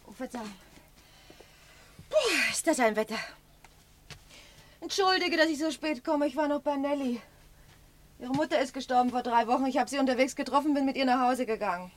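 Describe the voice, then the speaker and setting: An actress playing a caring, practical, chatty partner in a German radio drama, with a homely, casual way of talking. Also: female voice, sits high, brisk, long pauses, close to an everyday voice.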